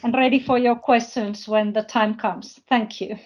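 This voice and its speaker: A woman with a distinctly high voice presents to an online forum. She speaks haltingly, feeling for her words. The sound is dull, like a lo-fi call. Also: steady tone, a little hiss or hum. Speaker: presenter, university project representative.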